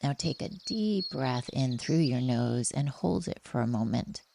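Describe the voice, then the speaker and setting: A woman with a soft, breathy voice gently leading a guided relaxation meditation in a soothing, unhurried manner. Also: extremely low pitch, expressive, noisy background.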